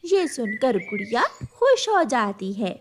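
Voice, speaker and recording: A woman's voice: fairly high pitch, sing-song, unhurried, noisy background. A woman narrating a scripted children's story.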